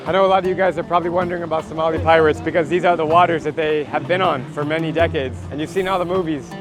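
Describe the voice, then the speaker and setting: A man's voice: a little high, fairly even intonation, very fast. An American man in his thirties hosting a travel vlog in an informal, enthusiastic way. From United States. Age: 30s. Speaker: travel vlogger and host. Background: music.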